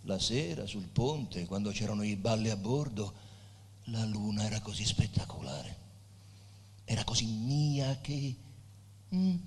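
An actor playing a dying, tender and wistful poet, declaiming emphatically in drawn-out phrases as in a stage reading. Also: male voice, lowish voice, husky, exaggerated voice. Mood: nostalgic, regretful. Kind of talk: theatrical stage reading, declaiming verse and narration. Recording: reverberant hall, live guitar behind. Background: Portuguese guitar music.

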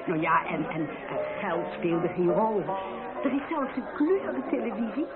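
A woman's voice: mid-range voice, very animated, normal speed. Fussy, swooping, flustered middle-aged mother. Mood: gushing. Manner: flustered, hesitant, gushing, repeats herself. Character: fussy, chattering middle-aged mother at her daughter's wedding. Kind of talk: radio play acting. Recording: tinny band-limited radio play, loud music, party crowd.

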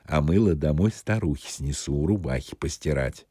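A man's voice: extremely low pitch, animated, moderate pace, mellow, dry recording, clean studio sound, pitched below the performer's own voice. A man voicing a timid, grumbling old peasant with folksy, hesitant muttering, in an audiobook story. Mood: furtive.

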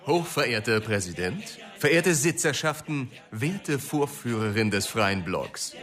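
A man's voice: medium pitch, lively intonation, measured pace, fairly bright tone. An actor playing a pompous, scornful political spokesman, delivering formal, mocking oratory in a radio drama. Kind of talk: radio drama parliamentary speech.

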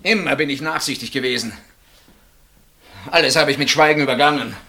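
An actor playing a bitter, suspicious husband in a German radio play, probing and accusatory in manner. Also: male voice, fairly high pitch, fairly quick, background noise, lightly acted. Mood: furious outburst. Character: bitter, suspicious husband interrogating his wife's sister.

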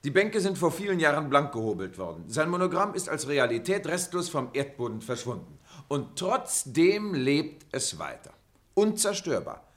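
Animated, declamatory former pupil. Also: male voice, on the high side, normal speed. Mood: triumphant wit. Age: middle-aged. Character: pointed, declamatory middle-aged former pupil reciting and arguing. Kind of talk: acted radio-play dialogue and recitation.

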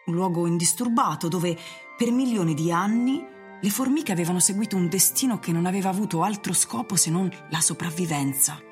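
A woman's voice: lowish voice, fairly quick. A woman narrator reading scripted literary narration for an Italian radio drama. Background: music.

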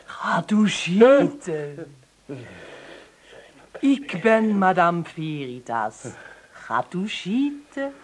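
An actress playing a phony mystical fortune-teller, speaking in dramatic broken phrases for a comic radio play. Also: female voice, on the low side, very animated, full character voice. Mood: mystical, theatrical. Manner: slow, dramatic, broken sentences with repetition. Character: phony mystical fortune-teller Madame Veritas, theatrical and gloomy.

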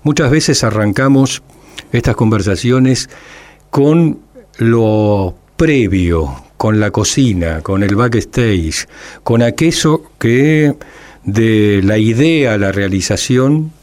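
A man hosting an interview, asking a long, elaborately phrased question in a measured way. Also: lowish voice, expressive, darkish tone, no room sound. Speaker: interview host.

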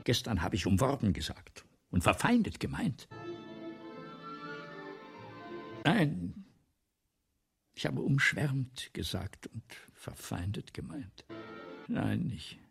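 An actor playing a vain, ailing, forgetful old writer in a radio play, with a theatrical, pompous, halting manner and a breathy voice that often drops toward a whisper. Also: male voice, mid-range voice, huge pitch swings, soft background music, full character voice. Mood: confused, faltering. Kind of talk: 1970s German radio play acting.

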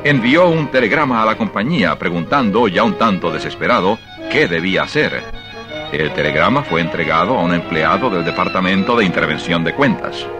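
A man narrating a dramatized short story for radio in an expressive, measured storytelling style. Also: deep voice, music in the background.

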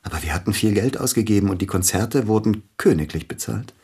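A very low, husky male voice for a radio play: a widowed concert pianist haunted by guilt. He speaks formally and haltingly, pressing insistently for answers. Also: expressive, warm tone. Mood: rueful, bitter. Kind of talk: German radio drama acting.

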